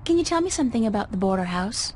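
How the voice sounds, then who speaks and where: Curious young woman, higher-pitched. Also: sing-song, racing, darkish tone, a little hiss or hum. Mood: inquisitive. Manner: inquisitive, casual. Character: friendly, curious young woman, newcomer to the city. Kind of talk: video game voice acting.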